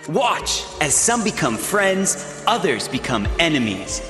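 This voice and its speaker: A man playing a bossy, gleeful dating show host in a video game, with a campy, dramatic announcer delivery. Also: fairly high pitch. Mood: grand announcing. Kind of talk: scripted game dialogue and show narration. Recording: echoey hall reverb with loud music.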